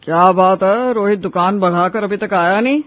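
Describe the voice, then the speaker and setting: A man's voice: high voice, normal speed, lightly acted. Casting: man as bossy elderly patriarch, sarcastic scolding delivery, family drama, dull lo-fi recording. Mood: sarcastic, offended. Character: stern, domineering old father-in-law who rules the house. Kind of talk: Hindi moral-story audio drama.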